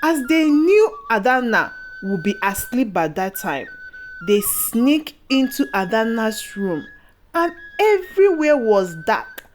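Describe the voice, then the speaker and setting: A woman narrating a scripted folktale in a deliberate, phrase-by-phrase storytelling manner. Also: on the high side, sing-song. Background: background music.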